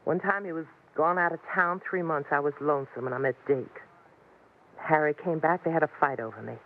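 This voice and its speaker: A woman's voice: low voice, a little fast. A tinny vintage radio recording of a weary, lonesome American frontier woman. She talks in a plain, folksy way, like someone who has had a hard life in the Old West. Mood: wistful confiding. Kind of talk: 1950s radio western acting.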